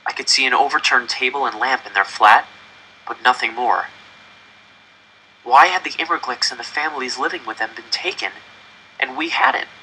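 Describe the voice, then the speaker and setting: A man's voice: mid-range voice, moderate pace, pauses often, bright, forceful tone, a little hiss or hum, low-quality recording. A man reading a first-person story aloud with dramatic, tense delivery. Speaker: audiobook reader.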